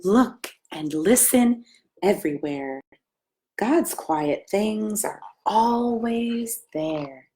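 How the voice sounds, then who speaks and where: An American woman, a pastor, reading a children's story aloud with gentle, simple asides and questions for young listeners. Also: medium pitch, expressive, extremely slow, a little hiss or hum. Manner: gentle, simple questions addressed to small children, often hushed.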